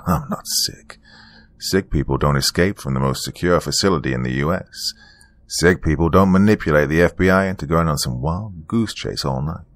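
A man voicing a charming but menacing serial killer in a thriller story, deep-voiced, smooth, taunting and boastful. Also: moderate pace, no echo. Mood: gloating.

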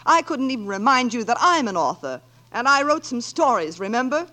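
An actress playing a sardonic, quick-witted woman writer in a 1940s American radio comedy, with dry wisecracking delivery. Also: on the high side, very animated, sharp tone. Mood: dry, weary. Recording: noisy old 1940s radio recording with clicks.

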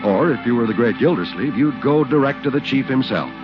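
A man's voice: mid-range voice, lively intonation, a little fast. A man announcing on 1940s radio in a polished, persuasive scripted sales style. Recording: muffled 1940s radio recording, music underneath.

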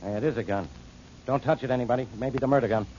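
Casting: a man as a dismissive, gruff police inspector, mid-pitched, on dull old radio audio. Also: very fast, a little mellow, slight background noise, close to an everyday voice. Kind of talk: 1940s radio acting. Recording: muffled old radio broadcast.

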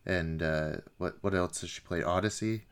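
A man with a low voice talks casually as a podcast co-host. His phrasing is hesitant and comes in starts and stops, as in an unscripted film chat. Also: normal speed, smooth.

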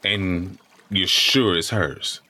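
A man playing a grieving father in an audio drama, wounded and quick to flare up. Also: sits low, pushed and bright, heavily acted. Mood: strained. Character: bitter grieving father, Harry.

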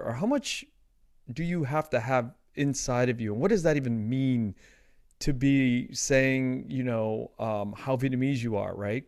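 A man's voice: mid-range voice, darkish tone. A man interviews a guest on a podcast and asks casual, rambling questions. His voice sits in an ordinary middle range and sounds close and dry, with no echo.

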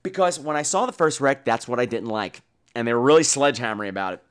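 A man hosting a podcast, giving casual, opinionated and profane film commentary in an animated way. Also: medium pitch, fast talker, clean tone. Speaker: podcast host.

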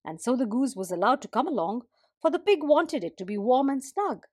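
A woman telling a folktale as expressive scripted narration for a storytelling podcast. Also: high voice, brisk, dry recording, clean studio sound.

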